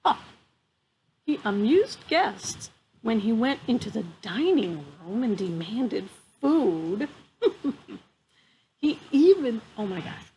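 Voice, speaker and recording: A woman reads a children's picture book aloud at a slow pace, adding chatty exclamations. Her voice is fairly high and amused. She is outdoors, with faint ambient noise behind her. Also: a little mellow, rough recording. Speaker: children's storyteller. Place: outdoors on a wooden deck among trees.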